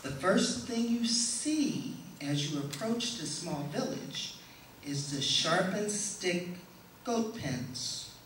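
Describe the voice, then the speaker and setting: A middle-aged woman giving a prepared testimonial speech to an audience in a measured, deliberate way. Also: lowish voice, lively intonation. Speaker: speaker giving a presentation. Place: indoor stage in a hall, before an audience. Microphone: stand microphone, heard from a distant camera. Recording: echoey hall, distant camera, faint noise.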